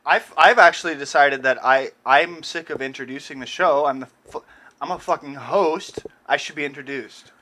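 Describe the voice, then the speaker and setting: A man's voice: a little high, moderate pace, pushed and bright. A man hosting a casual podcast, bantering in a crude, slangy, jokey way. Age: around thirty. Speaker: podcast host.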